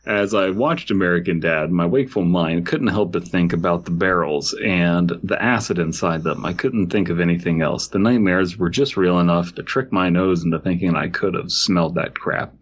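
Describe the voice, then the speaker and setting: A deep-voiced man reads a horror story on a comedy podcast, drifting into jokes between passages. The audio is dull and slightly muffled. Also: normal speed, few pauses. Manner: joking, riffs in bits, laughs often. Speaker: podcast co-host reading a story. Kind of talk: casual comedic story reading.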